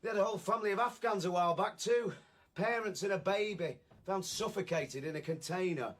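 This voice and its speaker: A man playing a blunt, harried middle-aged working father in a radio drama, brisk, gruff and colloquial. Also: high voice. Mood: matter-of-fact. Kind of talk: naturalistic radio drama acting.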